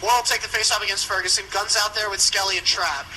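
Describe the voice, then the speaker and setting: Male radio hockey caller, high register, brisk live delivery, noisy rink broadcast. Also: fairly even intonation, pushed and bright. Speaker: ice hockey play-by-play commentator. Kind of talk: live radio sports commentary.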